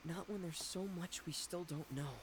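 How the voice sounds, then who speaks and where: An actor playing a reserved, loyal young man who speaks softly and hesitantly in a video game. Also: a little high, fairly even intonation, moderate pace, close to an everyday voice. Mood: earnest. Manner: soft-spoken, hesitant. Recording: game audio with clear noise behind.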